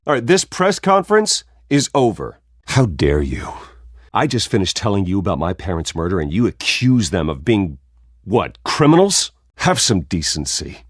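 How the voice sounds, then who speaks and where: An actor playing a composed, earnest billionaire heir who speaks in measured oratory and can turn defiant, in a video game. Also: male voice, low voice, huge pitch swings, breathy edge, dry recording, studio quality, heavily acted. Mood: indignant. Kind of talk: video game voice acting, public speeches.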